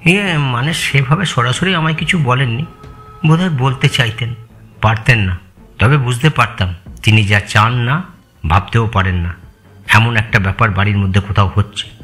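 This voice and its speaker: A man acts out a long-serving male family employee in an audio thriller. His voice sits at a middle pitch. He answers with polite deference, hesitating and holding something back. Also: moderate pace, a bit bright, soft background music, natural voice. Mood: guarded, regretful. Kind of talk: audio story dramatised dialogue.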